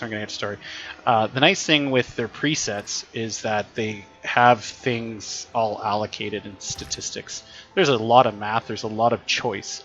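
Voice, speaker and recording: A man, a teacher, chatting casually while playing a role-playing game, thinking aloud with frequent restarts and a slightly raspy voice. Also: on the low side, fairly bright tone, muffled recording. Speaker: teacher streaming games. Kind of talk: casual gameplay commentary.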